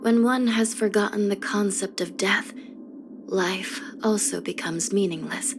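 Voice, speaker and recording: A woman playing a weary, grave young judge of the underworld in a video game, speaking softly in measured, formal phrases. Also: medium pitch, lightly acted. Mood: solemn, reflective. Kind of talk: scripted video game character voice lines. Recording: music under the voice. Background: background music.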